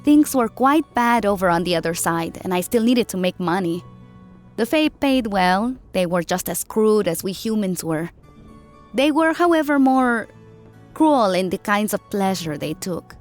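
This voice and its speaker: A woman's voice: mid-range voice, animated, unhurried, lightly acted. A woman playing a proud, candid South American engineer and former dancer in an audiobook, with a South American Spanish accent. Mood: proud, frank. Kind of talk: audiobook character acting. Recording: music behind the voice.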